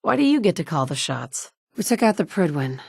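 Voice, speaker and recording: Pragmatic survivor, lowish voice, firm and direct, dry studio sound. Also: female voice, big pitch swings, fairly quick, vocal fry, a little mellow, breathy edge, no room sound, full character voice. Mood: matter-of-fact. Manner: firm and direct, shifting between stern and sympathetic. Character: determined adult woman, pragmatic wasteland survivor. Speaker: player character. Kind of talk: game dialogue voice acting.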